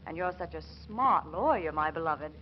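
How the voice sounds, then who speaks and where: An actress playing a teasing, possessive wife on the telephone, playful and cooing, in 1940s radio comedy style. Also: female voice, low-pitched, animated, normal speed. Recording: dull, faintly noisy 1940s radio recording.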